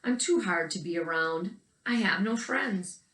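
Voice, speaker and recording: A woman's voice: lowish voice, expressive, a little slow, a bit bright. A woman voicing a lonely, discouraged male porcupine in a storybook, plaintive and earnest. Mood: dejected. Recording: roomy, echoing indoor recording.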